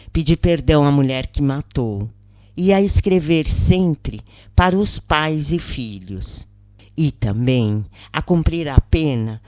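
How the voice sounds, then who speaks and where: A woman's voice: a bass voice, mellow, dry recording, studio quality, exaggerated voice. A woman with a Brazilian accent voicing a patient, earnest female prisoner in an audiobook story. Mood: calm, advising. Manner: earnest, explanatory. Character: imprisoned woman counselor Joana, grave, patient, confiding.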